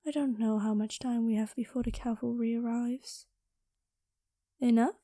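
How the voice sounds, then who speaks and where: Woman playing a very mellow, courtly dragon queen. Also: fairly high pitch, fairly even intonation, normal speed, no echo, studio quality, lightly acted. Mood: intimate, flirtatious. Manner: soft, intimate, formal courtly phrasing, often slipping into whispers. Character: gentle, lovestruck dragon queen, courtly and affectionate. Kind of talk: improvised ASMR roleplay. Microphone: close microphone, dry sound.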